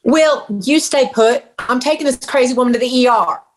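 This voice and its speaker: An actress reading a blunt, crude friend who mocks the husband in a table read. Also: female voice, high-pitched, moderate pace, a little hiss or hum, close to an everyday voice, poor audio. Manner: blunt, mocking. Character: brash, crude female friend.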